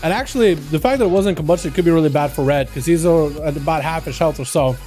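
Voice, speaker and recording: High-voiced casual game commentator. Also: male voice, fast talker, warm tone, soft background music. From Russia. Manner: chatty, calls out to chat as "boys". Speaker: livestream host and duel caster.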